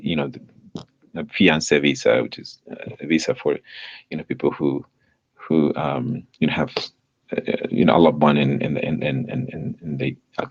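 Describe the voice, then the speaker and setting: Very deep-voiced man from El Salvador. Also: muffled recording. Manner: halting, many fillers and repeated words. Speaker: guest, nonprofit director. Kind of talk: live podcast interview.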